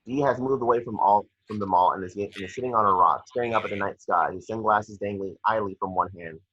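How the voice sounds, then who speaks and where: A man's voice: fairly low pitch, fast talker, sharp tone, husky, dull audio. A man narrating action descriptions at a script read-through, matter-of-fact and sometimes stumbling over words.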